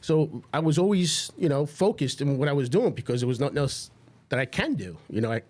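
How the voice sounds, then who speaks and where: A man's voice: a little high, sing-song, fairly quick, a little mellow. A man speaking as a podcast guest, casually reminiscing in an informal way and repeating phrases for emphasis.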